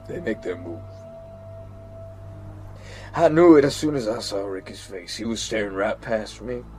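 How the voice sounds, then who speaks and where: Haunted American soldier, played by a male actor for an audio drama monologue: mid-pitched, slow, stammering, faint music behind. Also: lightly acted. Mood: grim, shaken. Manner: halting, stammering, repeats words. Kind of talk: dramatic first-person monologue.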